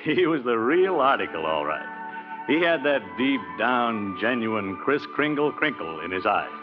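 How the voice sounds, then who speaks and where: An actor narrating as a wry, folksy storyteller in a 1940s radio drama. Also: male voice, mid-range voice, big pitch swings, a little slow. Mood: folksy, admiring. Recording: muffled vintage radio, music underneath. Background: music.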